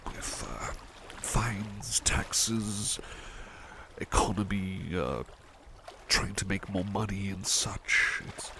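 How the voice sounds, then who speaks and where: A man playing a devoted, tender butler in an ASMR roleplay, murmuring softly and a little hesitantly to the listener. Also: low voice, animated, extremely slow, noisy background, exaggerated voice. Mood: wistful, reflective. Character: devoted, tender male butler who loves painting.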